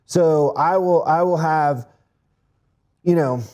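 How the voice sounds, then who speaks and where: Man, grew up in Mexico, podcast guest, earnest, repeats words, raised pitch, mellow tone. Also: slow. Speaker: guest, business speaker. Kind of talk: casual interview talk.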